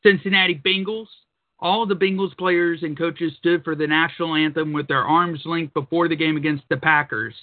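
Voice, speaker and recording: Crude, blunt male radio co-host, slightly high voice, narrow dry phone-like sound. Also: fairly even intonation, normal speed, no room sound. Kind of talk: casual radio talk.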